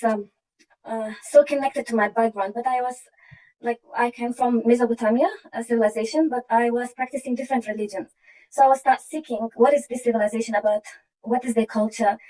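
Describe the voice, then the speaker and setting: A woman from Iraq, earnestly sharing a personal testimony with halting restarts. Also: on the high side, mellow, far from the mic, rough recording. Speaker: program participant sharing her story.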